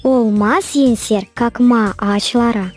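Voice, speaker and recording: A knowledgeable, helpful young girl in a children's cartoon. Her Gagauz-accented lines come slowly and calmly at a slightly raised pitch, with faint music. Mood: calm, informative.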